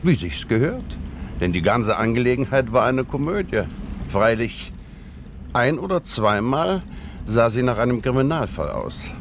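An actor playing a wry, amused investigator in a German radio drama, speaking in an urbane, ironic way. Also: male voice, mid-range voice, sing-song, a little slow, background noise. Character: wry, amused male investigator summing up a case.

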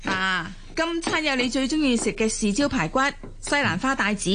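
Woman acting a high-voiced, placating Cantonese mother. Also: animated, normal speed, noisy background. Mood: welcoming. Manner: soothing, conciliatory. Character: gentle, placating middle-aged mother and wife. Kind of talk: Cantonese audio drama acting.

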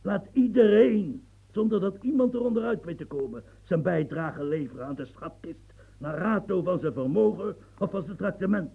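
An actor in a radio play voicing a husky, fiery old marshal in his seventies, who rants and stammers when flustered. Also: high voice, moderate pace, warm tone, heavily acted, low-quality recording. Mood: impassioned. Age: 70s. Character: old marshal of seventy-three, fiery, long-winded reformer.

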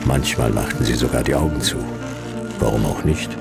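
An actor playing a laconic, wry hard-boiled detective who tells his case in clipped, deadpan phrases in a sci-fi radio drama. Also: rumbling low, normal speed, gravelly. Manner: dry, clipped, deadpan delivery. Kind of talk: German noir sci-fi radio drama narration and dialogue. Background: music.

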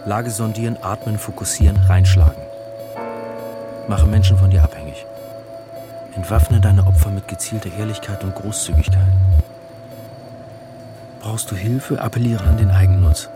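An actor in a radio drama playing a coldly controlled, patronising male fixer, measured and clipped. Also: fairly low pitch, natural voice. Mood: forceful, ruthless. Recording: loud music under the voice. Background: music.

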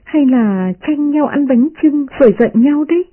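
A performer voicing a playful, sharp-tongued young noblewoman who mocks and teases, in a storybook reading. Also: sits high, normal speed, phone-quality sound, voice pushed up, close to an everyday voice. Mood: teasing. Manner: playful, mocking.

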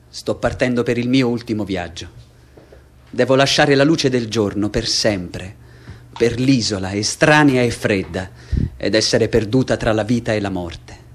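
A male actor playing a defiant condemned young woman in a theatrical radio play, declamatory and mocking. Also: medium pitch. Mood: mournful, solemn. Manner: declamatory, mocking, swinging from hushed to impassioned.

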